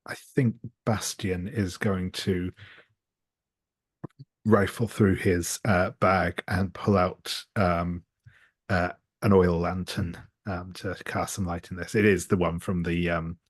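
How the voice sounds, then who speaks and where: A man with a very low, rough-edged husky voice talks through his moves as a game player. He is casual and tentative, halting between phrases, in a very dry recording with no room sound. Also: flat delivery, dry recording. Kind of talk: casual game chat, describing character actions. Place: remote online group call.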